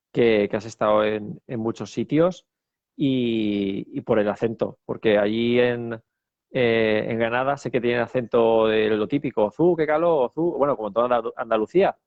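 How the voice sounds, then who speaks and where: Gravelly-voiced man asking rambling questions. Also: mid-range voice, moderate pace, dry recording, phone-quality sound. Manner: rambling questions with fillers and tag questions. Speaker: interviewer host. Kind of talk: interview conversation.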